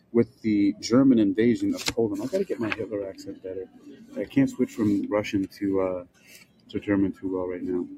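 An older man hosting a podcast, reading a prepared essay aloud with measured, rhetorical question-and-answer pacing. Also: lowish voice, mellow, lo-fi sound, a little hiss or hum. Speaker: podcast host.

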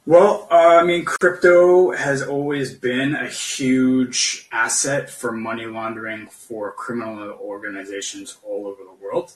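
A man talking as a podcast guest, discussing politics in a halting, hesitant way, searching for words. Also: mid-range voice, flat delivery. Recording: roomy, echoey, distant from the mic.

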